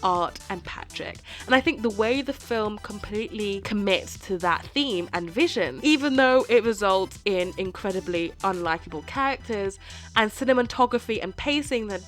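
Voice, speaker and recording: Woman w a bit of a cold, slightly hoarse, wry film essay narration, soft music under it. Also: mid-range voice. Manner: wry, playful asides. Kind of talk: scripted video essay narration.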